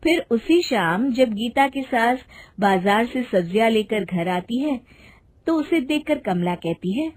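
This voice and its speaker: A woman narrating an animated storybook in a plain, even storytelling manner. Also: fairly high pitch, unhurried.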